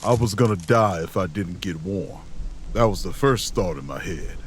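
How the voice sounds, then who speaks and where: Folksy, grim survivor, lowish pitch, stoic first-person audio drama narration. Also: male voice, lively intonation, normal speed, slight background noise. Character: gruff, weary, folksy survivor recounting an ordeal.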